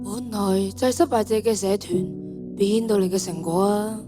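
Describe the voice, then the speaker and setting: A woman's voice: medium pitch, normal speed, over music, lightly acted. A teenage girl playing a blunt, matter-of-fact schoolgirl in a radio drama. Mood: scornful.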